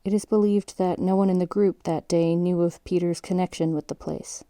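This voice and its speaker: A woman playing a sober documentary narrator in an audio drama, reading in a measured, factual way. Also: lowish voice, steady tone, mellow, no room sound, close to an everyday voice. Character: sober female documentary narrator recounting a case.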